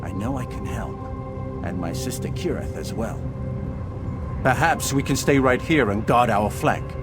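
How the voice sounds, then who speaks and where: An actor voicing a nervous, boastful tinkerer in a fantasy game, fretful and no fighter. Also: male voice, mid-range voice, unhurried, close to an everyday voice. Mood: anxious, boastful. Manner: fretful, animated. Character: nervous, boastful male tinkerer, self-proclaimed genius, no warrior. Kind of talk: dramatic fantasy game dialogue. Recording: studio voice under prominent music.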